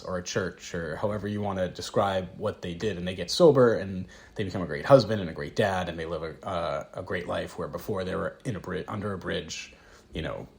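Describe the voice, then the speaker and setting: A man co-hosting a podcast in casual conversation, relaxed and quick to laugh. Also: low-pitched, gravelly. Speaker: podcast co-host.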